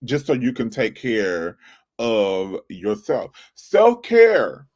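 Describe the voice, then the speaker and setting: A man's voice: fairly low pitch, huge pitch swings, slow, a little mellow. A man in his forties hosting his own channel, giving casual, earnest advice with a preachy, repetitive coaching tone. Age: 40s. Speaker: channel host. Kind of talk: casual livestream advice talk.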